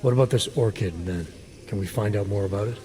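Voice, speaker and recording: An actor playing a gruff, stoic video game agent, terse and deadpan with a low, gravelly voice. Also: male voice, fast, a little hiss or hum, lightly acted. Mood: stern, probing. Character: gruff, stoic augmented male agent, calm and wry. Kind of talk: cinematic video game acting. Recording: dramatic music behind the voice.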